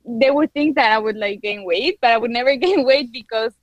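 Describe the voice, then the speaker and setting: A woman's voice: on the high side, a little fast, no echo. A woman in her twenties from Ecuador with a Spanish accent, eagerly telling her story as an interview guest, laughing often and restarting sentences. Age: 20s. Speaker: guest entrepreneur. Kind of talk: casual interview chat.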